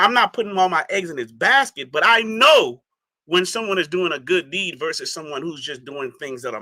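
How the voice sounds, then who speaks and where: A man's voice: on the high side, sharp tone, no echo. A man from the Bahamas, a guest in a casual interview, talking emphatically and often checking in with his listener. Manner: emphatic, repeats "you see what I'm saying".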